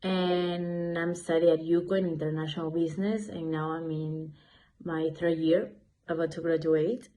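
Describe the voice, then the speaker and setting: A woman's voice: deep voice, fairly even intonation, normal speed, dark tone, soft background music, rough recording. A woman in her twenties from Ecuador, a business student giving earnest, plain interview answers. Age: 20s.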